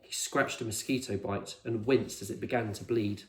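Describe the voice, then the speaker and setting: A man's voice: lowish voice, steady tone, moderate pace, a little mellow. A man reading a storybook aloud as a teacher would, in scripted narration for children, in an echoey room.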